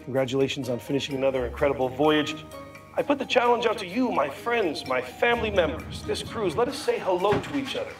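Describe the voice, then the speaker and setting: A man's voice: on the high side, sing-song, a little fast. A man as a cruise director giving a rousing, emphatic and ceremonious motivational announcement. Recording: distant announcement, faint music. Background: faint music.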